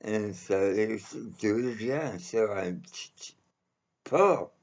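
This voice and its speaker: A man telling a casual personal anecdote in a halting, rambling way, pausing often as he goes. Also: fairly low pitch, animated, a little mellow. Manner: halting, rambling delivery with frequent pauses. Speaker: storyteller.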